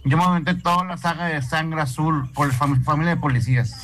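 A man's voice: a little high, fairly even intonation, brisk, a bit bright. A man calling in for casual, slangy chat. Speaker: caller.

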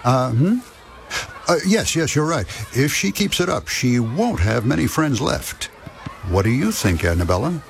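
An actor playing a gentle, kind man grieving his late wife, who talks softly and reassuringly to a child in a radio drama. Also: mid-range voice, sing-song, a little slow, creaky, a little hiss or hum. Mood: friendly. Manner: soft, tender, reassuring with a child. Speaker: widowed friend.

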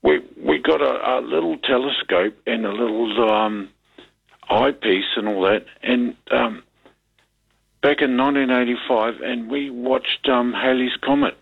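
Older nz bloke calling in, um-ing and repeating himself, gravelly low voice, tinny phone line. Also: male voice, a little slow. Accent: New Zealand. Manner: hesitant and rambling, full of ums and repeats. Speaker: caller. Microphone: telephone.

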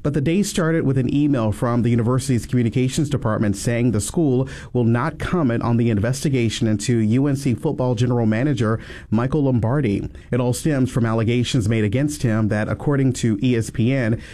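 A man reading local news headlines in a steady, scripted radio delivery. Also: mid-range voice, fairly quick, few pauses. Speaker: newscaster.

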